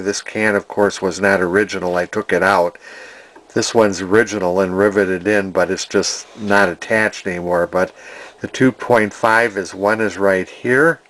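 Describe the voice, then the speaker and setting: Low-pitched man thinking aloud at his workbench. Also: fairly bright tone, rough recording. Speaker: hobbyist radio repairer, presenter. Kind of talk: informal hands-on repair demonstration. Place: indoor workshop at a workbench.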